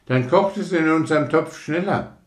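A man voicing carefree young boys with a loud, brash way of talking in a storybook tale. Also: fairly high pitch, normal speed, pitched above the performer's own voice, natural voice. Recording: roomy echo.

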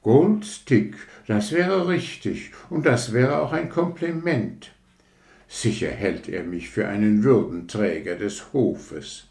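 A man's voice: fairly low pitch, takes it slowly, vocal fry, a little mellow. A man voicing a vain, pompous firework rocket that boasts with haughty, affected grandeur in a storybook tale. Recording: roomy echo.

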